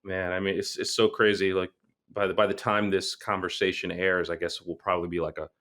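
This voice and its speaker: A man's voice: low voice, level tone, brisk, sharp tone. A man from Brooklyn in a casual podcast chat, playful and self-mocking. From Brooklyn, New York. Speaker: podcast guest, film director.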